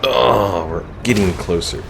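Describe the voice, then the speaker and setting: A man's voice: lowish voice, takes it slowly, gravelly, background noise. A man streaming a strategy game live, commenting casually and reading chat messages aloud with a laid-back chuckle. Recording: game music behind voice.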